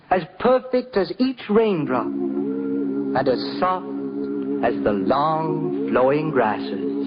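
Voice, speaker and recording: A man narrates like a 1950s radio dramatist, poetic and reverent. His voice sits fairly high and moves in wide, expressive sweeps. The recording is dull and vintage, with music underneath. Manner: poetic, reverent, theatrical delivery.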